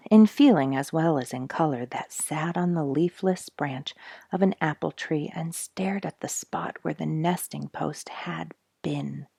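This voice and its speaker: A woman storyteller reading a children's book expressively, with deliberate pauses and casual asides. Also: deep voice, gravelly, mellow, no room sound, studio quality.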